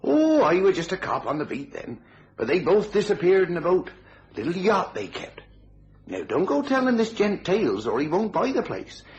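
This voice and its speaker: A man's voice: high voice, wildly swooping pitch, moderate pace, dull audio. An actor playing a blunt, gossipy, jovial English police sergeant in a radio thriller, a man talking in colloquial, knowing style.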